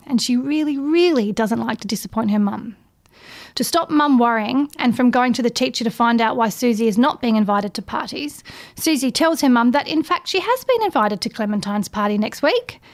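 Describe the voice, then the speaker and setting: A woman with a fairly high voice presents a prepared children's book review. She explains things clearly and in order, as if reading from notes she wrote. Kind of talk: prepared book recommendation segment.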